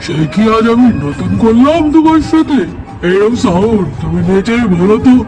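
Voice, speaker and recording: A man's voice: extremely high pitch, big pitch swings, normal speed. A man playing a doting, flirtatious husband in an amateur doll drama, gushing theatrically. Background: music.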